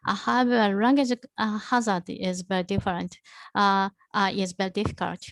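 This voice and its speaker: A woman's voice: medium pitch, steady tone, dry recording. Hesitant Japanese-accented woman, dry close sound. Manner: hesitant, halting, searching for words. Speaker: presenter, researcher. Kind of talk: answering questions at an online academic session.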